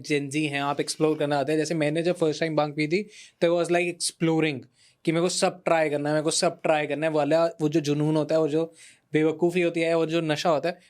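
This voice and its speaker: A young man hosts in a casual, chatty style. He slips English phrases into his speech and repeats phrases for emphasis, talking straight to his audience. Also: on the high side. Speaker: podcast host. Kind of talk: casual chat.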